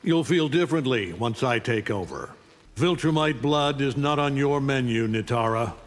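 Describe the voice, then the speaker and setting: An actor playing an arrogant, menacing alien superhero conqueror, imperious and taunting, in a fighting game. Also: male voice, medium pitch, lively intonation, moderate pace, in a large hall, natural voice. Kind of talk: fighting game character dialogue.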